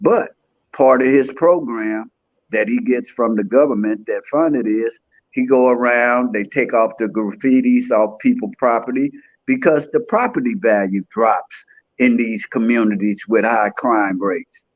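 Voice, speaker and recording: A man's voice: mid-range voice, unhurried, no room sound. Earnest streetwise man in his sixties. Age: 60s. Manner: earnest, streetwise, plain-spoken. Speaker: podcast guest. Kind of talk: casual conversation. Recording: dry, dull lo-fi sound.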